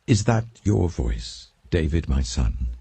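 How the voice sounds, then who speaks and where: A man's voice: rumbling low, big pitch swings, slow, gravelly, warm tone, breathy edge, no echo, heavily acted. A British man voicing a remorseful, humbled ageing king, grave and emotional, in a dramatic audiobook Bible reading. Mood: remorseful, moved.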